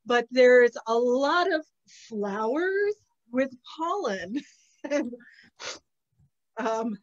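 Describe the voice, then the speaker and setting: A middle-aged woman on a video call from an outdoor terrace, a little sniffly, chatting casually and telling animated stories with frequent laughter. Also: fairly high pitch, extremely slow, muffled recording. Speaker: psychic channel host. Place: outdoor terrace with potted plants. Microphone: laptop webcam on a video call.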